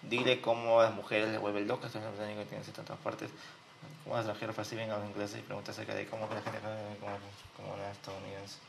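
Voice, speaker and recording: A man's voice: on the low side, flat delivery, very fast, creaky, a little hiss or hum. A man with a Peruvian Spanish accent commenting on a game in a mocking, joking way. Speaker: gaming host and dating instructor. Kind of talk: let's play commentary.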